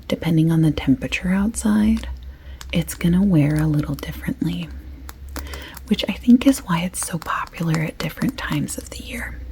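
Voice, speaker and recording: A woman doing a soft-spoken ASMR shop assistant roleplay, often slipping into a breathy whisper as she explains things in chatty, unhurried detail. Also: lowish voice, a little hiss or hum. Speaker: ASMR creator playing a perfume shop assistant. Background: light clicking and tapping of items.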